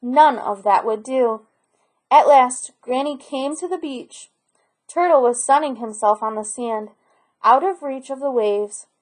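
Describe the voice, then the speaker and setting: High-pitched woman storyteller, slow pace. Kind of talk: picture book read-aloud.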